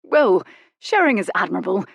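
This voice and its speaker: A woman voicing a pompous, self-righteous Regency gentleman writer who speaks with indignant force. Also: mid-range voice, big pitch swings, moderate pace, gravelly, breathy edge, dry recording, clean studio sound, exaggerated voice. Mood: cautious.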